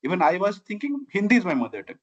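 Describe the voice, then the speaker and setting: Higher-pitched Indian man, muffled audio. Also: big pitch swings, racing. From Bihar, India. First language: Maithili. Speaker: interview guest. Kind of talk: conversational personal storytelling. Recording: dull, lo-fi audio.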